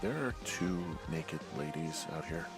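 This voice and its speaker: A self-deprecating American outdoorsman in a narrative game. He talks casually and hesitantly with dry humor, his pitch moving a lot, over a music bed. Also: expressive, unhurried, heavily acted. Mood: awkward. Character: easygoing, self-deprecating grown man working alone in wilderness. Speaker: player character, fire lookout. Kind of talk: naturalistic game voice acting.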